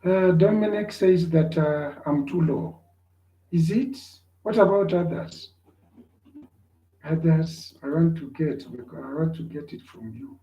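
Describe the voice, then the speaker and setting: Male lecturer, unhurried and repetitive, very mellow tone, echoey room. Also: on the high side, highs cut off. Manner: repetitive, checks on listeners. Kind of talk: online class lecture.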